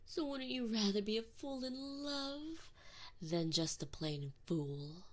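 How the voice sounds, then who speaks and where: A woman's voice: mid-range voice, lively intonation, takes it slowly. A woman playing a sadistic, possessive female alien enforcer in an ASMR roleplay, close on the microphone, taunting with theatrical, sweet menace. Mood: seductive, domineering. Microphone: close binaural microphone.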